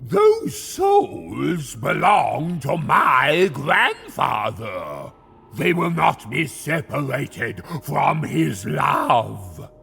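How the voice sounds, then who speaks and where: An actor voicing a gleefully devout, sinister plague sorcerer in a fantasy game, savouring archaic words in a gravelly, theatrical voice. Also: male voice, on the high side, huge pitch swings, drawn-out words, sharp tone, heavily acted. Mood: defiant, doting. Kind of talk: fantasy game villain acting.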